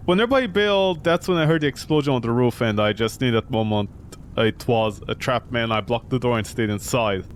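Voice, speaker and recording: A man's voice: medium pitch, lively intonation, brisk, slight background noise, pitched below the performer's own voice, lightly acted. A man voicing a tough, suspicious male tenant in a visual novel, talking in blunt slang. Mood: wary, blunt.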